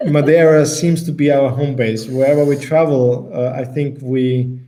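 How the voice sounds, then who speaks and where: Relaxed Austrian man, mellow voice. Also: medium pitch, normal speed, rough recording. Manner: relaxed, hesitant, restarts sentences. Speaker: co-host of a travel Q&A. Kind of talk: casual live chat.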